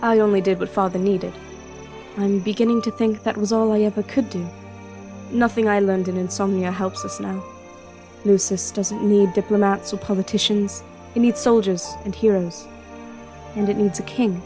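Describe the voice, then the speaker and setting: A woman acting as a young, reserved and melancholy princess in an audio drama, with a measured, formal manner. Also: mid-range voice, steady tone, music in the background, lightly acted. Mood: solemn, reassuring.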